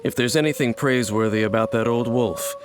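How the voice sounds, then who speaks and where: An actor playing an aloof, world-weary wanderer in a video game, speaking in a measured, formal, solemn manner. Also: male voice, medium pitch, noisy background, close to an everyday voice. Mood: stern.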